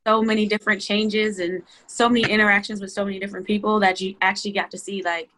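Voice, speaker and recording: A woman chatting casually on a group video call, animated and slangy, often giggling. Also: mid-range voice, fast talker, poor audio. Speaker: cast member being interviewed.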